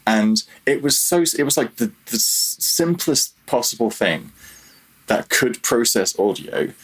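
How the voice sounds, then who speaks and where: A man's voice: medium pitch, big pitch swings, a little slow, a bit bright, slightly rough, rough recording. A man chatting casually as a podcast guest, self-deprecating and a little hesitant in how he phrases things. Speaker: podcast guest, freelance audio programmer. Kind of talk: casual interview chat.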